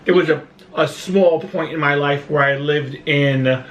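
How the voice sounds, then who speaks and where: A man with a Brooklyn accent chatting casually on a vlog, talking haltingly with frequent restarts. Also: a little high, a bit bright, reverberant, distant mic, highs cut off. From Brooklyn, New York. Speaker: vlogger. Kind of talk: casual chat. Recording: voice a bit off-mic, faint room noise.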